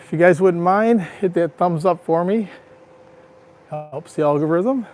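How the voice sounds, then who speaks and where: Male vlogger, raspy from allergies, chatty tour-guide manner, greets viewers by name; phone mic outdoors, light traffic hiss. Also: high voice, a little slow, darkish tone. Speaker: livestream vlogger. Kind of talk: casual live walking tour. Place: outdoors on a town street. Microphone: handheld phone.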